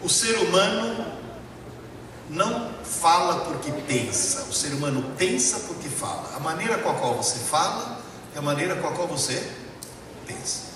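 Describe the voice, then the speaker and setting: A man speaking with an Italian accent gives an emphatic lecture to a hall audience. His voice is high and swings widely as he drives home his points, and it is caught from a distance in a large, echoey room. Steady noise hangs behind him. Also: normal speed. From Italy. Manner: emphatic, rhetorical questions, addresses the audience directly. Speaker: professor giving a lecture. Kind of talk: public lecture to a live audience.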